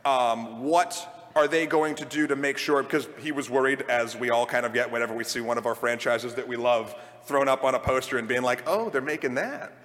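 A man's voice: mid-range voice, a little fast, fairly bright tone. Casual man on a panel, echoing hall. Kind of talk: live panel discussion. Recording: hall mic with long reverb.

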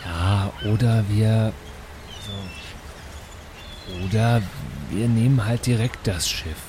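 A man's voice: on the low side, drawn-out words, darkish tone, a little hiss or hum. A man improvising his character in a tabletop role-playing game, talking in a reluctant, whiny, hesitant way.

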